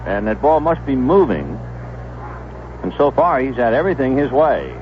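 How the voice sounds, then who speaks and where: Guy doing old radio baseball play by play, very up and down voice, measured phrases, kinda dull muffled sound. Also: medium pitch, very animated. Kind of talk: live radio sports commentary. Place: stadium broadcast booth. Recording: muffled 1970s AM radio broadcast, background noise.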